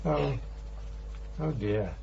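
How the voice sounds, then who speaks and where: Slow, creaky, befuddled old man. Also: mid-range voice, mellow, full character voice. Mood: bewildered, anxious. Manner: halting, bewildered, repetitive. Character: vague, forgetful, slow-witted, wondering old man. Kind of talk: radio drama acting. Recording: dull radio recording, faint noise and hum.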